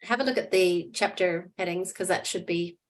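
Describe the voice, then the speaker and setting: A woman on course staff giving brisk, helpful answers on a video call, a little hoarse as her voice fades. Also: on the low side, a little hiss or hum. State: losing her voice. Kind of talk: online class discussion.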